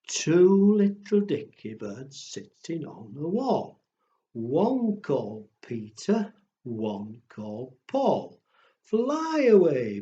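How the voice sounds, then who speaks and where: Slow, deliberate man telling stories to children. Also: on the high side, warm tone, muffled recording. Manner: slow, deliberate, addressing small children with questions. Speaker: children's storyteller. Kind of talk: storytime for pre-school children, simple narration and rhymes.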